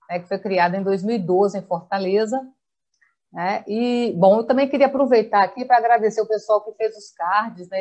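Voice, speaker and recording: A woman's voice: mid-range voice, darkish tone. A woman with a Brazilian accent informally introducing an online panel as moderator, often adding little tag questions. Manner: conversational, frequent tag questions.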